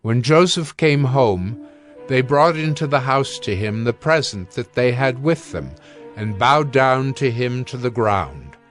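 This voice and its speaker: A man narrating a dramatized Bible reading in a steady, measured way. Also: medium pitch, soft background music.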